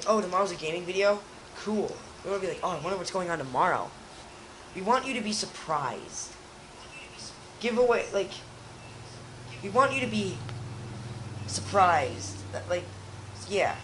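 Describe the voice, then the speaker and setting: Young male co-host, hoarse and raspy, rambling, faint noise behind. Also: high voice, fairly bright tone, poor audio. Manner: rambling, restarts often. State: hoarse from a cold. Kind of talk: casual chat.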